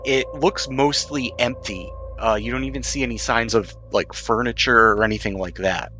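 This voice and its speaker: A man's voice: medium pitch, fairly even intonation, moderate pace, pushed and bright, faint music behind. A man acting as game master, describing scenes for a tabletop roleplaying game in a conversational way.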